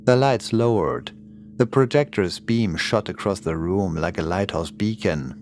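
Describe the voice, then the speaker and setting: A man's voice: sits low, measured pace, darkish tone, slight background noise. A man reading scripted horror fiction as an audiobook narrator, in the manner of a prepared storytelling performance. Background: creepy music and sound effects.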